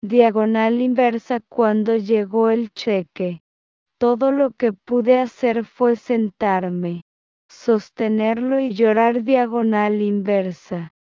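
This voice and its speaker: A woman reading a text aloud phrase by phrase, then repeating each phrase slowly and calling out the punctuation marks. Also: a little high, steady tone, clear, resonant tone, no room sound, muffled recording.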